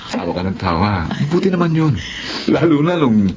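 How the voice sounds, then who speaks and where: A man's voice: a little high, sing-song, moderate pace, darkish tone, dull audio, slight background noise. A man playing a jovial old classmate. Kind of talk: radio drama acting.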